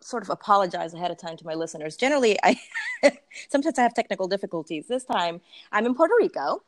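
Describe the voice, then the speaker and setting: A woman hosts a casual podcast conversation over a remote call, bubbly and quick to laugh. Her pitch leaps up and down with lively animation. The sound is dry and close, with no room around it. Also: no echo. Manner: bubbly, laughs often, many fillers. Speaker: podcast host. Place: staying in Puerto Rico, remote call.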